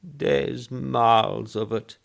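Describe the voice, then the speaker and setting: A man's voice: medium pitch, fairly even intonation, slow, creaky, pitched above the performer's own voice. A man voicing a frail old Englishman in a storybook reading, chatty and rambling, with a piping, quavering voice. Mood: chatty, pleased. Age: older. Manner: rambling, complaining gossip. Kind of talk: short story character voice.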